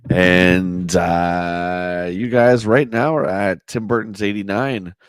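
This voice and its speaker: A man with a hoarse voice hosting a casual livestream, chatting and answering viewer comments. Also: deep voice, steady tone, takes it slowly, dry recording, studio quality. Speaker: livestream host. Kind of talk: casual live chat reading a magazine.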